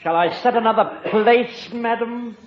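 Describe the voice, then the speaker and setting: An actor playing an unflappable, very proper butler in a 1950s radio sitcom, formal, deferential and deadpan. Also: male voice, extremely high pitch, a little slow. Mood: politely deadpan. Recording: noisy, dull, roomy radio recording, audience.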